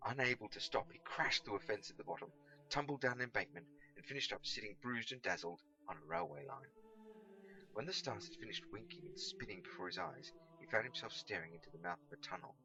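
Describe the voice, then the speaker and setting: A man reading a storybook aloud as an expressive narrator. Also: medium pitch, fairly quick, creaky, muffled recording. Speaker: audiobook reader.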